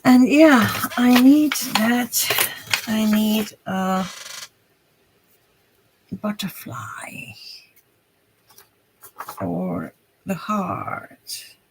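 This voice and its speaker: A woman with a Hungarian accent talks to her live viewers while she works on crafts. Her voice has a gravelly, creaky edge, and she speaks very slowly. She thinks aloud in halting bits and often trails off. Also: medium pitch, background noise. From Romania. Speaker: crafter streaming a journaling session. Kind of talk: casual live-stream chat while crafting.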